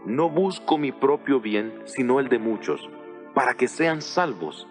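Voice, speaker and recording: A man's voice: mid-range voice, lively intonation, natural voice. A man playing the Apostle Paul as an earnest, solemn teacher exhorting his congregation in a dramatized audio Bible, with music behind him. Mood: earnest instruction. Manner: solemn, exhortative, deliberate phrasing.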